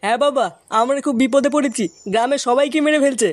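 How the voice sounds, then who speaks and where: A performer voicing a bossy young male village club leader in a comic cartoon, brisk and commanding. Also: sits high, steady tone, heavy background noise, natural voice. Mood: pleading.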